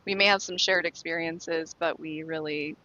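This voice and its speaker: A woman's voice: low-pitched, steady tone, moderate pace, sharp tone. A woman co-hosting a podcast in a casual, conversational way, on a dry, slightly dull recording. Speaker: podcast co-host.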